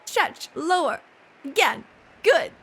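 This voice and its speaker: A woman playing a strict, earnest coach in an anime game, brisk, formal and instructing. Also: high voice, very animated, gravelly, fairly bright tone, heavily acted, low-quality recording. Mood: firm, instructing. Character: strict, earnest coach and tournament course designer.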